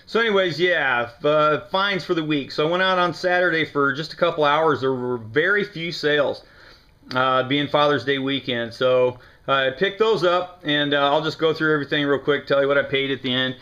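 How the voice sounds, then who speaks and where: A man's voice: a little high, moderate pace, bright, forceful tone. An American man chatting casually to the camera in a laid-back, slangy, folksy way as he shows off his haul. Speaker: reseller showing yard sale finds. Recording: dull lo-fi camera audio.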